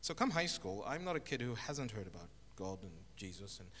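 A middle-aged man from Zimbabwe, a guest telling his life story in an interview, with thoughtful, halting speech and many restarts. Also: fairly low pitch, big pitch swings, a bit bright. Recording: echoey hall with faint noise.

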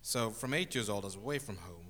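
A middle-aged man from Zimbabwe, a guest telling his life story in an interview, with thoughtful, halting speech and many restarts. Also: on the low side, expressive, a bit bright. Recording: echoey hall with faint noise.

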